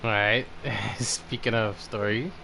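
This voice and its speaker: A man's voice: fairly low pitch, steady tone, slow, creaky, noisy background. A man hosting a casual gaming livestream, chatting and reacting as he plays. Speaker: livestreamer, host.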